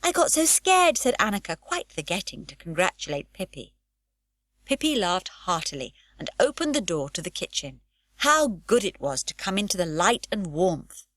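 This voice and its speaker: Expressive woman reading a children's book. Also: lowish voice, moderate pace, no echo. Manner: expressive, storytelling lilt. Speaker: audiobook narrator. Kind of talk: unabridged audiobook reading.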